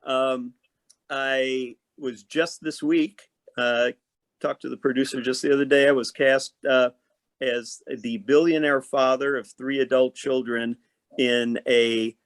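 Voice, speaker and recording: A man's voice: medium pitch, a bit bright, no echo. Talkative man in a dry, close recording. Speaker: actor, podcast guest. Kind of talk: casual conversation about work.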